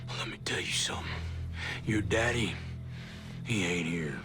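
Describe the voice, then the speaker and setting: An actor playing a ruthless, contemptuous special forces commander who curses and menaces, in a game cutscene. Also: male voice, sits low, normal speed, music in the background, natural voice. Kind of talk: video game cutscene acting. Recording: game soundtrack behind.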